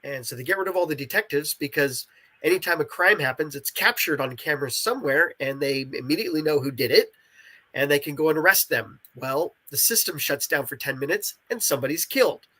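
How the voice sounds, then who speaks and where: Male game creator in a casual interview: stuffy-nosed, slightly high voice, forceful and bright, keen explainer. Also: expressive, fairly quick, low-quality recording. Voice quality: congested, nasal voice.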